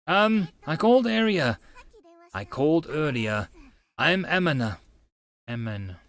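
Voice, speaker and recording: A man's voice: on the high side, sing-song, measured pace, a bit bright, dry recording, full character voice. A man voicing an eager eighteen-year-old young woman in an audiobook, with brief, slightly awkward replies.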